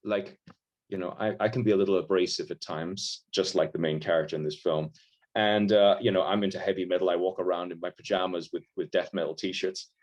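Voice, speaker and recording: A filmmaker from Ireland with an American-sounding accent, chatting casually in an interview over a video call, rambling with lots of restarts. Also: male voice, sits low, steady tone, a little fast. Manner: rambling, frequent restarts and fillers, self-deprecating.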